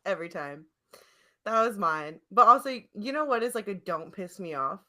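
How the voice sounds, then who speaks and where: A woman's voice: medium pitch, animated, normal speed, pushed and bright. A woman hosting a podcast in casual comedic chat, with a playful, mock-dramatic and giggly manner. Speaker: podcast host.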